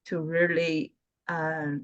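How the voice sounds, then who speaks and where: A woman from mainland China with a Chinese accent, chatting on a video call as a guest, pausing and searching for words. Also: low-pitched, flat delivery, no echo. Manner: halting, searching for words, laughs often. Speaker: guest, lawyer. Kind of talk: casual video-call chat. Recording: muffled video call audio.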